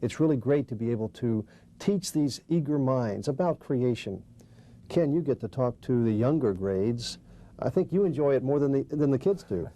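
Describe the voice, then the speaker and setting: Cheerful male TV host, mellow tone, scripted delivery. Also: medium pitch, expressive, normal speed. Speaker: television program host. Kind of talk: scripted TV presentation.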